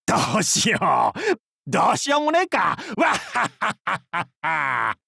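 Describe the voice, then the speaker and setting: An actor playing a boisterous, crude and goofy male pirate captain in a game, with a gravelly, husky voice and a hammy, theatrical, jokey way of talking. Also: high-pitched, huge pitch swings, normal speed, bright, forceful tone, no echo, studio quality, exaggerated voice. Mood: joking, rambling. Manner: hammy, theatrical, jokey otaku-style speech. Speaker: game character voice. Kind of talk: voiced game character lines.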